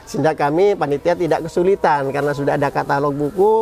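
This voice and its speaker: A man's voice: fairly high pitch, fast, creaky. A man from Indonesia answering interview questions conversationally as a committee representative, often repeating key words.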